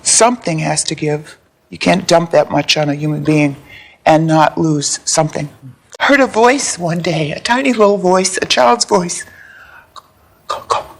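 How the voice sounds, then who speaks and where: A woman giving personal testimony in an interview, halting and reflective as she speaks. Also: mid-range voice, vocal fry. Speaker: interviewee, abuse survivor.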